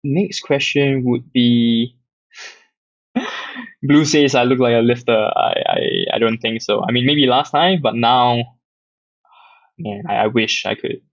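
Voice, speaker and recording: A young man with a Singaporean accent answers audience questions in a loose, rambling livestream, filling pauses with ums and uhs. His voice has a gravelly edge and sounds a little tired. The audio is dull and close, with no room echo. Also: medium pitch. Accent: Singaporean English. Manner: relaxed, rambling, reads questions aloud with many fillers. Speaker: app community host answering questions. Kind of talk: casual livestream Q&A.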